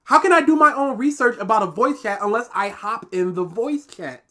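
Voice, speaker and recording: A woman's voice: a little high, animated, fairly quick. A woman with a light, nasal voice co-hosting a casual reaction commentary, arguing her points and getting exasperated. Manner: argumentative, gets exasperated. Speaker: co-host reacting to a video.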